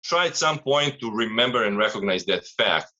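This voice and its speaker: A man's voice: fairly low pitch, expressive, moderate pace, bright, forceful tone, dull audio. A man, a strength coach, talking casually and giving advice in a rambling, philosophical way.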